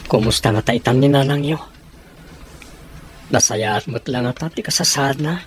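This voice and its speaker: A man's voice: mid-range voice, moderate pace, slight background noise, lightly acted. An actor with an Ilocano accent playing a worried, caring middle-aged father in a radio drama. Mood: fatherly, worried.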